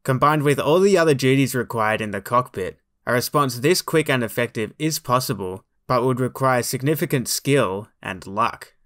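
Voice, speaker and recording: A man narrates a documentary from a script in a mid-pitched voice. His delivery is measured and formal, explaining things plainly, recorded close and dry. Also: animated, a bit bright, no room sound.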